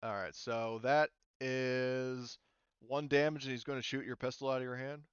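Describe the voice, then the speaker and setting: A man's voice: mid-range voice, level tone, moderate pace, clean tone, no echo, highs cut off. A man narrating and explaining rules casually as a tabletop game master. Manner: informal, talks through rules as he reads them.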